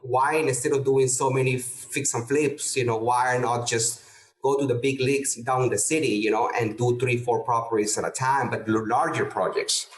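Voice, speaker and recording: A middle-aged man answers interview questions casually, stumbling through restarts and fillers. His voice sits at a medium pitch. The recording has a roomy, echoey sound. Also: fairly even intonation. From Peru. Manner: halting, many restarts and fillers. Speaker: guest real estate investor.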